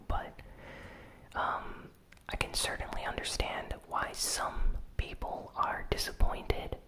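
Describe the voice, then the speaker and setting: A host whispering a weekly gaming news recap in ASMR style, soft and unhurried with frequent "um" fillers. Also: poor audio. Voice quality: fully whispered.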